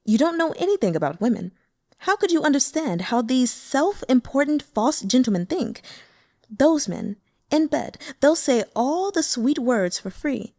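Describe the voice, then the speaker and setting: A woman's voice: high-pitched, mellow, no echo, studio quality, voice pushed up, heavily acted. A woman voicing a coy, teasing demonic seductress.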